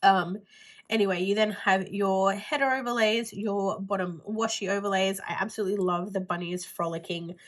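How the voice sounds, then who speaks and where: A woman's voice: medium pitch, steady tone, moderate pace. A woman with a stuffy nose and a nasal voice, chatting casually through a planner haul video while she handles her items. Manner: chatty, rambling with "like" fillers. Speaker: planner vlogger.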